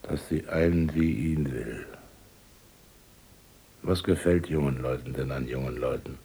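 An actor playing a rich older village miller, gruff and scheming, who rants and then broods in a radio drama. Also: male voice, fairly even intonation, unhurried, warm tone, heavily acted. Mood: brooding. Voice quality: gravelly, husky voice. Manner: blustering, then brooding and low.

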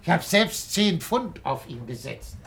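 A man's voice: a little high, very animated, measured pace, gravelly, background noise, exaggerated voice. A man playing a hearty, chatty country innkeeper in a radio play, jovial and confiding, a little hesitant and cautious. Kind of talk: German radio play acting.

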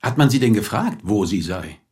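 An actor playing a sceptical police inspector in a radio play, questioning drily and insistently. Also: male voice, on the low side, big pitch swings, normal speed, a little mellow. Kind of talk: naturalistic German radio play acting.

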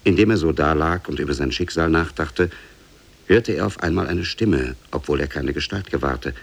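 A man narrates a tale in the manner of 1950s radio. His voice is extremely deep, husky and full of fry. The delivery is measured and solemn. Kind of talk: scripted storybook narration, 1950s German radio.

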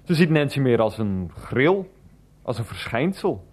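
An actor playing a sheepish, hesitant adult nephew in a radio play, halting and earnest. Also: male voice, medium pitch, big pitch swings, a little mellow, husky. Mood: earnest, evasive. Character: hesitant, sheepish adult nephew torn between two women.

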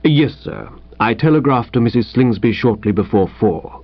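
A man acts out an unflappable English valet for a comic audiobook. He is formal and deferential, setting out each point with measured precision, on slightly muffled audio. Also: medium pitch, animated, dry recording. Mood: calm, deferential.

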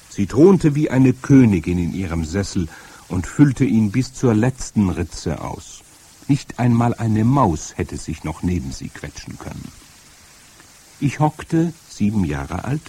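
Male narrator, mellow and husky, very lively, dramatic storybook delivery. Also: lowish voice. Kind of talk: first-person audiobook reading.

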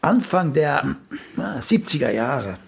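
An actor playing an aging celebrated playwright and mentor in a radio play, raspy, blunt and pedantic, with a dryly cutting drawl. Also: male voice, fairly high pitch, big pitch swings, slow, full character voice. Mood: dryly dismissive. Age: older. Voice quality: raspy, gravelly. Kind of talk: German radio play acting.

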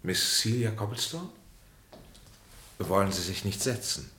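An actor in a German radio play as a grave, authoritative psychiatrist, speaking in measured, formal pronouncements. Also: male voice, on the low side, expressive, pushed and bright, a little hiss or hum. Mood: calm, authoritative. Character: grave, authoritative male psychiatrist, calm and oracular.

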